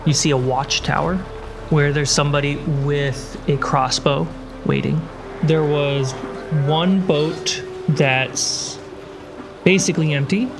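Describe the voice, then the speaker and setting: Unhurried male game master, music behind. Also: fairly high pitch, fairly even intonation. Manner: descriptive, pausing between short phrases. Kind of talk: narrating a tabletop role-playing game. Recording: prominent music bed behind voice. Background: background music score.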